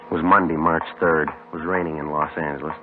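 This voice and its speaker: An actor playing a laconic police detective sergeant in an old radio drama, deadpan and clipped. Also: male voice, very deep voice, a little fast. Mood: matter-of-fact. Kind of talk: 1950s radio drama acting and first-person narration. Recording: noisy, tinny old radio recording.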